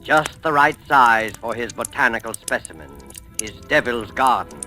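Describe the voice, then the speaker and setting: Bright, forceful drama announcer. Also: male voice, mid-range voice, very animated, normal speed. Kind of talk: dramatic scripted 1940s radio announcing. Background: music.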